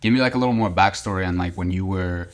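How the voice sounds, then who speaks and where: A man's voice: sits low, fast talker. A man from Colorado hosting a podcast in a casual, rambling way, packed with filler words. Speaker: podcast host. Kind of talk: casual chat.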